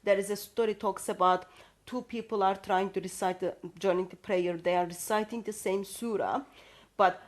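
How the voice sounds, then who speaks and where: A woman's voice: mid-range voice, steady tone, moderate pace. A woman from Turkey, speaking English as a guest, hesitant with restarts as she reads out references. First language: Turkish. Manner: hesitant, with many fillers and restarts, reading out references. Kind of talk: conversational discussion.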